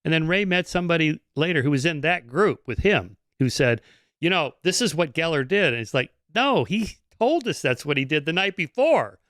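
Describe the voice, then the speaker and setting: A man of seventy hosting a podcast, telling stories in a relaxed way with frequent restarts. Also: on the high side, moderate pace, dry recording, studio quality. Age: 70s. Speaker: podcast host. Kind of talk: casual interview chat. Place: home study with bookshelves. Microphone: headphones with boom microphone.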